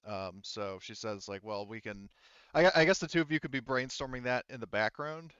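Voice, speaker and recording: A man working as game master, narrating a tabletop roleplaying game in a halting, informal way. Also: medium pitch, a bit bright, clear, resonant tone, no echo, dull audio. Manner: halting, informal, talks around points.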